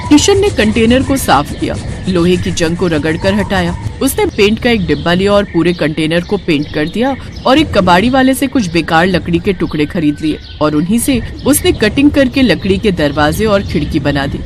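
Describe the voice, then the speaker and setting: A woman's voice: fairly low pitch, lively intonation, normal speed, hardly any pauses, music in the background. A woman reading scripted narration for a moral cartoon story.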